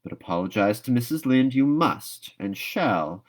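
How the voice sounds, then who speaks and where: A man voicing a stern but fair middle-aged woman in a storybook, with a dry, measured, admonishing manner. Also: mid-range voice, expressive. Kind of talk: storybook read-aloud character voice.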